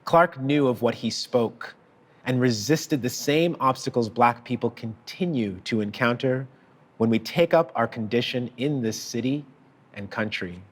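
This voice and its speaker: A man reading a prepared tribute in a measured, deliberate and formal way. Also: mid-range voice, slightly rough. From child of parents from Sierra Leone. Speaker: author giving a tribute. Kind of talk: prepared speech read aloud.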